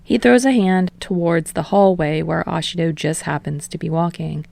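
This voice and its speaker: A woman's voice: on the low side, steady tone, moderate pace, gravelly, a little mellow. A woman reading fiction aloud as scripted audiobook narration.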